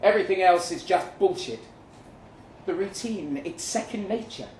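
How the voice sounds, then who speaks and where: A man performing a live short-story reading as a weary, jaded agent, speaking deliberately with dramatic pauses. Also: high-pitched, lively intonation, darkish tone, voice pushed up. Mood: tense, jaded. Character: weary, jaded male agent narrating his own story. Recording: roomy live venue, some noise.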